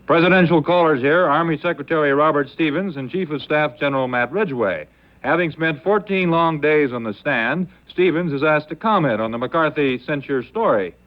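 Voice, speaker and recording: A man presents a scripted field report as a news correspondent. The voice is fairly high and slightly muffled, with 1950s broadcast sound. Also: normal speed.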